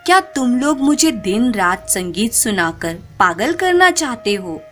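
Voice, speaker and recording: A woman's voice: a little high, very animated, a little slow, soft background music. A woman voicing a discontented, hot-tempered king in a folk tale, scolding and impatient. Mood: angry, scolding.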